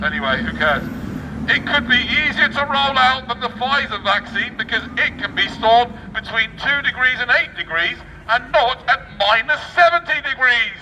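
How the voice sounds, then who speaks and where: High, piercing sarcastic street orator. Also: male voice, animated, normal speed, sharp tone. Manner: sarcastic, theatrical mock enthusiasm. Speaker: street performer reading a newspaper aloud. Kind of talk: satirical street oratory, reading news aloud with mocking asides. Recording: distant outdoor mic, faint street noise.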